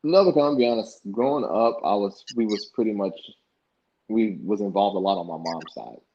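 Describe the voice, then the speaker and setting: A man's voice: on the low side, a little fast. A young man from the United States, a podcast guest, telling stories casually and acting out past conversations in colloquial speech. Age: young adult. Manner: animated, acts out past dialogue in colloquial speech. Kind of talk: casual interview storytelling. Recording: dull lo-fi podcast audio.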